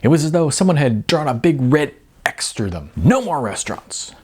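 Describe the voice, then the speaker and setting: Expressive man reading his own novel. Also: a little high, moderate pace, breathy edge. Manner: expressive, wry storytelling. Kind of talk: audiobook-style reading.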